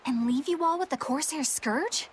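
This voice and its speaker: A woman's voice: high voice, moderate pace, a little hiss or hum, lightly acted. A woman playing a prim, serious young woman in an English game dub, earnest, proper and drily disapproving.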